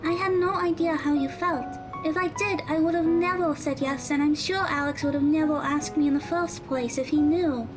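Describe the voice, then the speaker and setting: A woman's voice: sits high, steady tone, moderate pace, few pauses, over music, lightly acted. A woman playing a kind teenage schoolgirl in an audio drama, with a girlish voice and a polite, earnest manner. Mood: concerned, apologetic.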